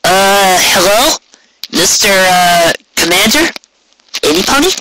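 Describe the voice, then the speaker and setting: Man voicing a brash, higher-pitched young pony mare. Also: lively intonation, slow, noisy background. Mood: hesitant, calling out. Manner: casual, hesitant, impatient. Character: brash, impatient young female pegasus pony.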